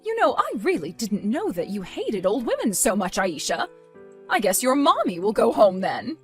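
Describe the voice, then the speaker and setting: A woman's voice: mid-range voice, very animated, moderate pace, fairly bright tone, soft background music. A woman playing a stern, fed-up mother nearing retirement, scolding reproachfully in a scripted family drama. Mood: pointed, sarcastic. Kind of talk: scripted melodramatic story dialogue.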